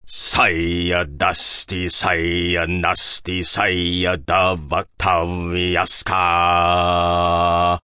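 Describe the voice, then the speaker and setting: A man plays a sect leader in a dramatized audiobook. His voice sits very low and close, with a dry sound. He intones with solemn, sardonic authority. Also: sing-song, fairly bright tone, dry recording. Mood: solemn chanting. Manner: slow, solemn intoned chanting. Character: male sect leader and sermonizer, solemn, sardonic, crude-mouthed.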